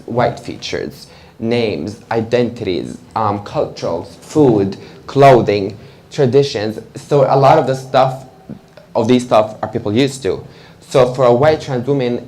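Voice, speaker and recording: A young man from Georgia talks openly in English as a panel guest. His voice sits on the low side colors his speech. He is candid, energetic and fond of fillers. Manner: animated, candid, frequent fillers and laughter. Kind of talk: live panel conversation. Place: event room with audience.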